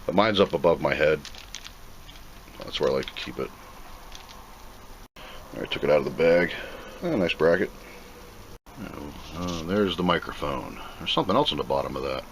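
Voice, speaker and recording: A man's voice: a bass voice, lively intonation, normal speed, long pauses, a little hiss or hum, rough recording. A plainspoken man giving an off-the-cuff, casual commentary as he reviews and unboxes a product.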